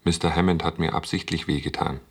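An artificial being with a very low voice, wounded and unyielding. It speaks in short, slow, solemn statements. The setting is a science fiction radio drama. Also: male voice, fairly even intonation, husky, exaggerated voice. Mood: hurt, resolute. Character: distrustful artificial being named Tobol, stubborn, wounded, solemn.

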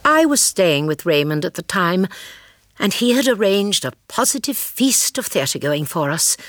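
An actress playing a kindly, shrewd elderly English spinster, telling her story in a radio drama with a husky voice. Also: fairly low pitch, sing-song, measured pace, fairly bright tone, no echo. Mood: measured storytelling. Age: older. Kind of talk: radio drama narration and dialogue.

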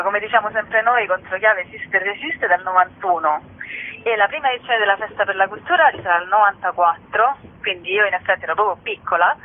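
Female radio guest, middle-aged, chatty and emphatic, tinny phone audio. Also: medium pitch. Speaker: interview guest, festival organizer. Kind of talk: casual phone interview. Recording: thin phone line on radio.

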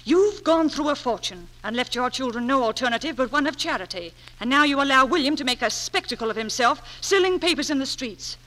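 A woman's voice: sits high, lively intonation, a little fast, hardly any pauses, fairly bright tone. A woman playing a haughty, spiteful aunt, formal and imperious, in a 1940s radio drama. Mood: cold, severe. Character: haughty, spiteful aunt guarding the family name.

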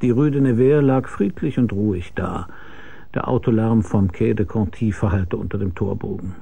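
Radio noir detective: husky, low and creaky, weary, laconic, deadpan narration. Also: male voice, moderate pace, faint music behind. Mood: grim, deadpan. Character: weary hard-boiled male private detective narrating his case. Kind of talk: radio crime drama narration and dialogue.

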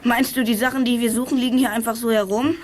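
A woman's voice: on the high side, steady tone, quick pace, natural voice. A woman playing a cocky, teasing teenage boy detective in a youth radio play, cheeky and boastful. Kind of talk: 1980s German youth radio play acting. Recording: old audio drama with faint noise.

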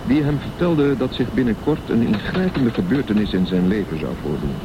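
Low-voiced male radio drama narrator. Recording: dull sound with clear background noise.

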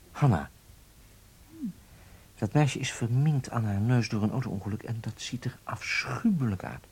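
An actor playing an earnest, deliberate horse-sport entrepreneur in a Dutch radio play, with a husky voice. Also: male voice, medium pitch, darkish tone.

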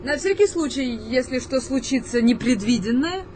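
Radio drama: proud paparazzo photographer, higher voice, guarded and questioning, slight noise behind. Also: female voice, expressive, moderate pace, darkish tone. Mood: firm, wary. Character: wary, proud woman street photographer and paparazzo. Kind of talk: Russian radio drama acting.

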